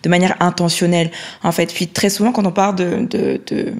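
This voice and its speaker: Woman explaining concepts in a low voice. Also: fairly even intonation, fairly quick, darkish tone. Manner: explains terms carefully from notes. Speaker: co-host. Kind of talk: explanatory chat.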